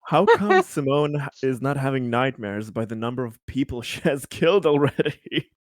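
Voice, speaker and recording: A man's voice: mid-range voice, sing-song, normal speed, no room sound. Male tabletop player, casual and joking, varied intonation, close dry sound.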